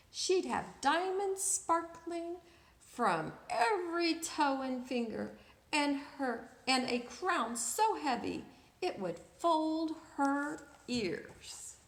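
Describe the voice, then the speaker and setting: A woman reading a picture book aloud to children expressively, drawing out words and putting questions to her listeners. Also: high-pitched. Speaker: storyteller, children's read-aloud host. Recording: echoey room.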